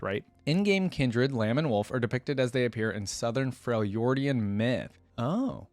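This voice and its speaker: Guy doing a reaction video, reads stuff out loud n chats casually about it, dry close sound. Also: mid-range voice, dry recording. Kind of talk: reading lore aloud with casual commentary.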